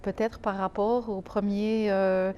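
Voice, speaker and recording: A woman's voice: mid-range voice, flat delivery, slow pace, dark tone, dull audio, slight background noise. A Swiss-born woman guest in a casual TV interview, talking hesitantly with many fillers and easy laughs. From Switzerland. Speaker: guest, community association president.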